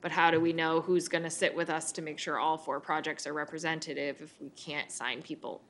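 A woman in her thirties deliberating at a public meeting, thinking aloud in a halting way. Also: low voice, level tone, fairly bright tone. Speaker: school board member. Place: public meeting room, indoors.

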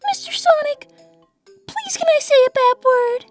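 A sweet little cartoon rabbit girl, polite and earnest. Her voice is extremely high and bounces around melodically. There is music behind her. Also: expressive, moderate pace. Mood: pleading. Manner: earnest, childlike. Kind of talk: cartoon comic dub.

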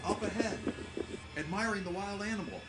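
A man's voice: high-pitched, fairly quick, fairly bright tone, faint music behind, low-quality recording. A man playing a wry, unflappable expedition scientist in a radio drama, dry and curious. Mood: wryly amused.

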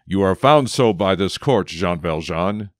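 An actor playing a severe judge in a radio drama, with a solemn, formal, authoritative delivery. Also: male voice, sits low, lively intonation, measured pace, no echo, clean studio sound. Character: severe male judge passing sentence in court.

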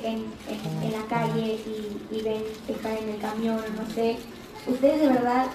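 Young woman, slight Argentine accent, earnest speaker at a fan event; distant phone audio in a loud, echoey hall. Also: a little high, a little hiss or hum. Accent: Argentine Spanish, slight. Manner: earnest, encouraging, speaks straight to the crowd. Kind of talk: talking to a live audience. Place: large auditorium stage. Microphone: handheld mic, recorded from the audience on a phone. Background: crowd ambience.

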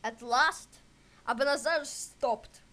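A woman reading a fairy tale expressively and deliberately for children and English learners. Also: sits high, bright, forceful tone. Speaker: storyteller, English teacher.

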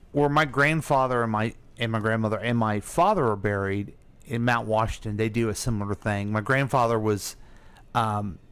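A man's voice: lowish voice, a bit bright. A middle-aged American man co-hosting a radio show, asking questions in casual conversation.

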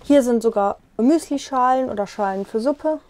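A young woman with a fairly high voice walks viewers through a small caravan, chatty and explanatory. She points things out as she moves, recorded close on a clip-on mic inside the compact interior. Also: normal speed, darkish tone, faint music behind. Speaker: vlogger giving a tour. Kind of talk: casual room tour. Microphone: lapel mic.

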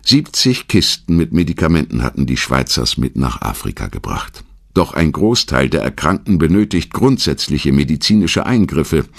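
Super deep voiced guy narrating a documentary, gravelly, lively intonation, storyteller vibe. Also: moderate pace, no echo, clean studio sound. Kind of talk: scripted narration.

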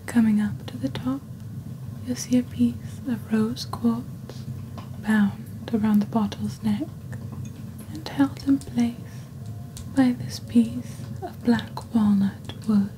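A woman softly presenting products in a hushed, breathy, gentle and deliberate ASMR style, like a scripted fantasy shopping channel. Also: a little high, fairly even intonation, background noise. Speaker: host of a witch-themed shopping channel roleplay.

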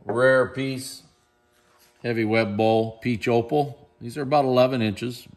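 A middle-aged man talks through auction glassware in a relaxed, enthusiastic manner, his voice at an ordinary pitch. He speaks into a handheld camera in a large indoor warehouse space. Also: unhurried. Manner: enthusiastic, chatty, praises pieces. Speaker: auctioneer presenting lots. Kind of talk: casual walkthrough of auction items.

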